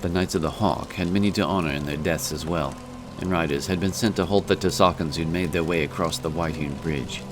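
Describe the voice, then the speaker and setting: A man giving scripted narration of a fantasy story in a measured, serious manner. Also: low-pitched, steady tone, music in the background.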